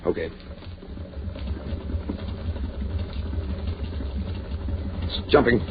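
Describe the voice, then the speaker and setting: An actor playing an uneasy, skeptical colleague assisting a surgeon in an old radio horror drama, speaking in a hesitant, questioning way. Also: male voice, quick pace, soft background music, lightly acted. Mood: tense, reporting. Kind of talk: old-time American radio drama acting. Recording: muffled, noisy old radio recording with hum.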